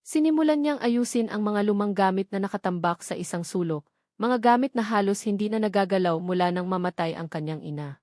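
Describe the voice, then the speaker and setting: A woman's voice: medium pitch, fairly quick, a little mellow, dry recording, clean studio sound. A woman reading scripted, dramatic love-story narration as the storyteller.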